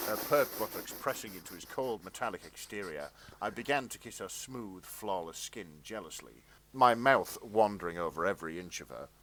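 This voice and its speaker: A man's voice: low voice, a bit bright. A man hosting a podcast, reading fan fiction aloud with mock-serious narration.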